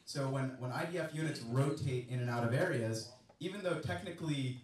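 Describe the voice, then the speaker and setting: A man's voice: medium pitch, brisk. Man answering from a panel in a hall. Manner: hesitant, many fillers and restarts. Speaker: panelist, former soldier. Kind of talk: answering audience questions at a panel. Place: large indoor event room. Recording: echoey hall, far from mic.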